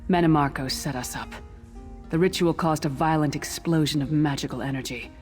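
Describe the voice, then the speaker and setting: Earnest warrior woman played by an actress, soft music. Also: sits low, brisk, close to an everyday voice. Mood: grave. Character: battle-worn, earnest female warrior companion. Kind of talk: video game character dialogue.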